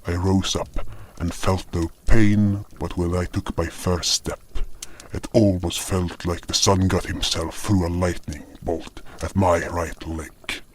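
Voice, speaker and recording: A man's voice: low voice, flat delivery, takes it slowly, slight background noise. A man reading a scripted first-person fantasy narration as the storyteller of an audio drama. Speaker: narrator and voice actor.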